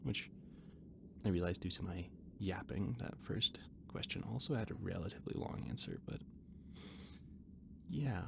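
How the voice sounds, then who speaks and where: Man as a gravelly, hesitant catboy mechanic, noisy recording. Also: deep voice, moderate pace, long pauses. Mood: playfully defensive. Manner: hesitant, stammering, rambling, gently defensive. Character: shy, rambling male alien catboy ship mechanic and cook. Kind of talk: intimate roleplay audio drama, conversational.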